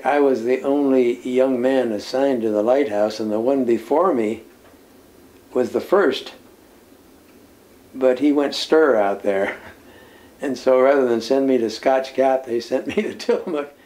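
An older man reminisces about his years of service, seated in a room full of nautical keepsakes. His voice is warm and mellow, and he speaks in a calm, unhurried way. He pauses often to gather his thoughts and laughs softly now and then. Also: mid-range voice. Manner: unhurried storytelling with many "uh" pauses and soft chuckles. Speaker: former lighthouse keeper, interviewee. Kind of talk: reminiscing interview answers. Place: indoor room lined with nautical memorabilia. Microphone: seated interview, camera at medium distance.

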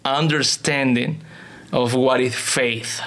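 A man speaks halting as a pastor and author in a conversational interview. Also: medium pitch, fairly even intonation, fairly bright tone. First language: Spanish. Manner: halting non-native English with hesitations and repetitions. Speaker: pastor and author, podcast guest. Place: small indoor podcast studio. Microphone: close studio microphone on table stand.

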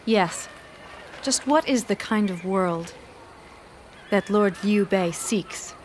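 A woman's voice: mid-range voice, takes it slowly, slight background noise, natural voice. An actress playing a poised, dutiful young noblewoman of ancient China in a video game, speaking formally and courteously. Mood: pensive. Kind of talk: dubbed video game cutscene acting.

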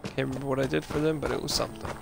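A man streaming a game, chatting casually and swearing in excitable outbursts. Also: medium pitch, very fast, vocal fry, noisy background. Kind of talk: casual let's play commentary.

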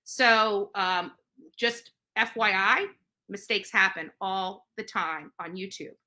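A woman's voice: mid-range voice, slow pace, pushed and bright. A middle-aged woman giving indignant, emphatic commentary straight to camera, repeating phrases for emphasis. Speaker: livestream host. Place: indoor living room on a couch.

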